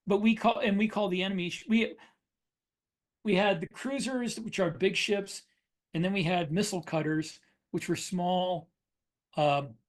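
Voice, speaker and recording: A man co-hosting a podcast, chatting casually and explaining his writing in a matter-of-fact way with examples. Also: high-pitched. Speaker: podcast co-host, science fiction author. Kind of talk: casual chat about writing craft.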